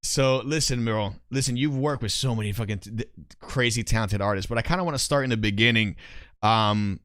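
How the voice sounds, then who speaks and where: A man's voice: medium pitch, fairly quick, fairly bright tone, dry recording, clean studio sound. An American man hosting a podcast interview in casual, slangy, effusive talk, often addressing his guest as "man". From Miami, United States.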